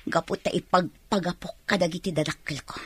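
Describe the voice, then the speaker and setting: A woman's voice: lowish voice, steady tone, fairly quick, fairly bright tone, slightly rough, no echo. A woman acting an aggrieved, defiant young wife in an Ilocano radio drama. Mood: hurt, defiant.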